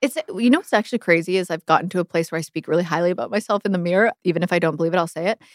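Candid woman guest, lowish voice. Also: very fast, no echo, clean studio sound. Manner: candid, animated, self-deprecating. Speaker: podcast guest. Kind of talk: casual chat.

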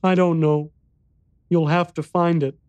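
Man voicing a high-pitched, solemn little boy. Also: little pitch movement, measured pace, a little mellow, clear, resonant tone, dry recording, highs cut off, natural voice. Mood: earnest, insistent. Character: solemn, frightened little boy in a haunted house. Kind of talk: audiobook character dialogue.

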